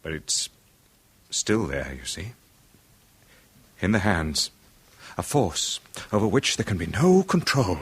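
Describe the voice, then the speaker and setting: A haunted, tormented man in a 1970s radio play. He stammers and breaks into bitter nervous laughs, his low voice rising and falling widely as he drags the words out slowly. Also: wildly swooping pitch, husky, heavily acted. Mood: haunted confession. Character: tormented middle-aged male musician, haunted and bitter. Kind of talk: 1970s radio drama acting.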